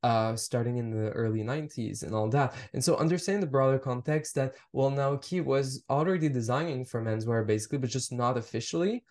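A man's voice: medium pitch, a little fast, few pauses, a little mellow. French Canadian man, reflective and hesitant. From Montreal, French Canada. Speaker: fashion researcher and collector. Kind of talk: casual podcast conversation.

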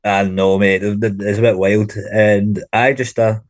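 A man's voice: fairly low pitch, little pitch movement, normal speed, no room sound. A Scottish man with a Glasgow accent, a podcast guest chatting informally in slang with the odd swear word. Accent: Glaswegian Scottish. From Glasgow, Scotland. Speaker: podcast guest, rock band frontman. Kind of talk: casual chat.

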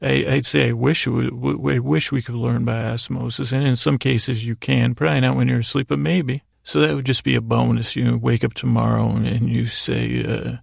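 A man with a heavily creaky, gravelly voice hosts a sleep podcast. He keeps his pitch fairly even as he meanders through halting, self-interrupted sentences. The delivery is lulling and mildly self-deprecating, recorded close and dry. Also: dry recording. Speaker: podcast host. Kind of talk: rambling bedtime monologue to help listeners fall asleep.

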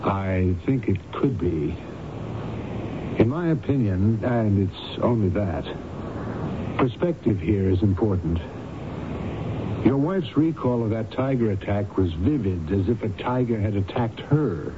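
Grave doctor, lowish, noisy recording. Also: male voice, lively intonation. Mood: grave, measured. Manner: deliberate, grave, professional. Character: calm, authoritative doctor probing a patient's memory. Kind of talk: old-time radio drama acting. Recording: noisy, dull old radio recording.

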